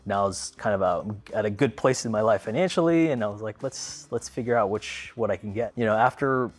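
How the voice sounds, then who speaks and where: A man in his thirties speaks in a low, easygoing voice, wandering through his story with fillers and restarts. Faint music plays quietly under his words. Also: fairly quick. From Philippines, raised in Los Angeles. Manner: relaxed, rambling, frequent fillers and restarts. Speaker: car owner. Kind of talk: casual storytelling to camera.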